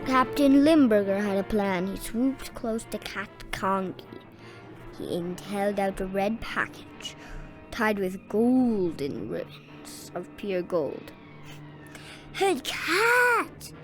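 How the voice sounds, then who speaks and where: A woman's voice: mid-range voice, takes it slowly, faint music behind, poor audio. A woman reading a children's story aloud with dramatic, emphatic narration. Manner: theatrical, emphatic. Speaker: story reader.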